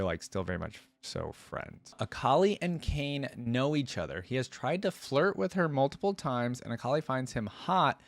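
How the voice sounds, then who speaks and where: Low-voiced man, dry close sound. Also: no echo. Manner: informal, thinking aloud. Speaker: reaction video host. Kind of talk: reading wiki text aloud with casual commentary.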